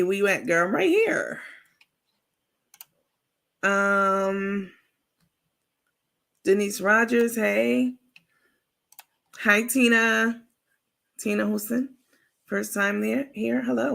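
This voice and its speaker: A woman with a raspy voice hosting a casual livestream, chatting informally with viewers and reading out their comments. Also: medium pitch, takes it slowly, long pauses, a bit bright. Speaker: livestream host.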